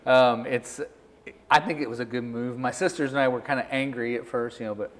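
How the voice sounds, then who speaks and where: A man's voice: mid-range voice, steady tone, brisk. A middle-aged man from Missouri, a musician and interview guest, casually telling his personal history. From Missouri, United States. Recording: echoey, reverberant room.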